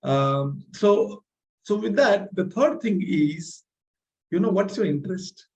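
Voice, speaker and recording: A man hosting a live training masterclass, energetic and motivational, often checking in with "right?"; his voice is a little strained from speaking loudly. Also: sits high, takes it slowly, rough recording. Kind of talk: live online masterclass with audience questions.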